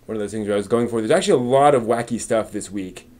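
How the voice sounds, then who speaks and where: A man's voice: on the low side, fast, a little mellow. A man hosting a casual live stream, rambling and often muttering to himself as he works.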